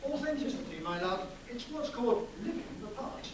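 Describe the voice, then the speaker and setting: A man's voice: extremely high pitch, expressive, a little fast. A man playing an urbane, scheming lover, arch and slightly anxious, in theatrical crime drama dialogue. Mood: arch, pleased. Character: scheming, theatrical male lover plotting a murder. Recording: echoey, distant, noisy stage-like recording.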